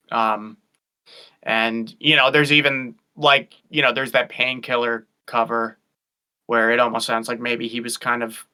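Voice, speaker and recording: A man's voice: mid-range voice, pushed and bright, smooth. A man guesting on a casual chat, thinking aloud with many restarts and fillers. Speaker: guest vocalist. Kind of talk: casual chat over a stream.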